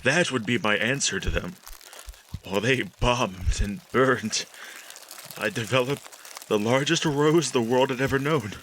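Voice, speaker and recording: Man as a slow, haunted, brooding narrator. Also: medium pitch, a bit bright, slight background noise, lightly acted. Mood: bitter, brooding. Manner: slow, brooding, halting, melodramatic. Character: haunted, regretful man brooding over his failed plan. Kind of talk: retro 1940s-style radio monologue.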